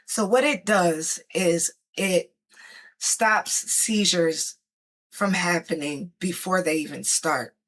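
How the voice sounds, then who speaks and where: Bright-voiced woman, rambling and hoarse. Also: on the low side, slow pace. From Chicago area, United States. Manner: rambling, many fillers and restarts. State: hoarse at times. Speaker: vlogger sharing her experience. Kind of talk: casual personal talk to camera.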